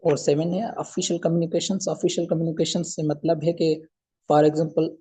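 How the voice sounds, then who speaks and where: Guy lecturing informally, kinda husky and muffled-soft tone, repeats himself, drops in english terms. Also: fairly high pitch, flat delivery, moderate pace. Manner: mixes English legal terms into speech. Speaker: law lecturer.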